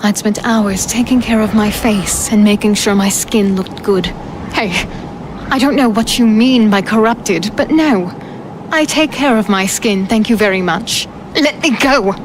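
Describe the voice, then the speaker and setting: A woman's voice: mid-range voice, measured pace, noisy background, close to an everyday voice. A woman playing a defiant human fighter, telling her ordeal in first person as dramatic fantasy storytelling. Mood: indignant. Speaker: narrator and heroine.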